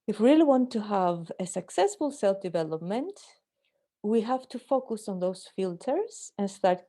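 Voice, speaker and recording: A woman leading an online workshop, speaking gently and earnestly over a video call. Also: on the low side, creaky. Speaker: workshop leader. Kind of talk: online group workshop talk.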